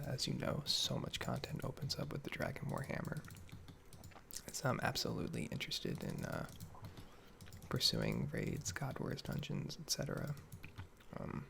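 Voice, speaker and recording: A man giving a casual, soft-spoken ASMR ramble over gameplay, hesitant and understated as he meanders from thought to thought. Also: mid-range voice, vocal fry. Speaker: gaming video creator. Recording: faint background noise, keyboard typing, clicking. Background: soft computer keyboard typing and mouse clicks.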